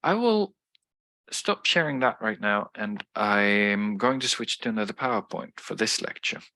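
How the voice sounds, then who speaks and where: A man's voice: lowish voice, animated, measured pace, bright, forceful tone, dry recording, low-quality recording. A man who is a native Swedish speaker, giving an explanatory lecture with frequent fillers and restarts. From Sweden. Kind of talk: online lecture.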